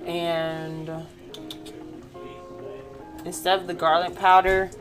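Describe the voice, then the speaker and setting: A young woman walks viewers through her cooking in a casual vlog. She talks into a phone in her home kitchen and often checks in with a quick "okay?". Soft music plays faintly behind her. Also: on the low side, level tone. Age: young adult. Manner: chatty, walks through each step, tags lines with "okay?". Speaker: vlogger. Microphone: handheld phone camera.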